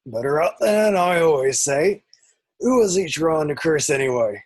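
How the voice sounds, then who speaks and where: A man's voice: fairly high pitch, lively intonation, normal speed. A man playing a kindly, protective, plain-spoken gamekeeper in an amateur table read, reassuring and folksy. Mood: brisk, kindly.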